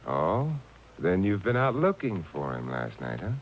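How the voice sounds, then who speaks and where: An actor playing a wry, unflappable detective in 1950s American radio style, languid and deadpan with teasing understatement, on an old broadcast recording. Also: male voice, sits low, a little slow, slight background noise, heavily acted, poor audio. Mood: coolly menacing. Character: wry, unflappable male detective, lazily sardonic.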